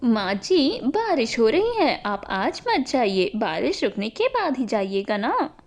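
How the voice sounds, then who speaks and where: A woman voicing a young, dutiful new daughter-in-law in a family story, gentle, earnest and reassuring. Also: fairly high pitch, very animated, slow, heavily acted. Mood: consoling.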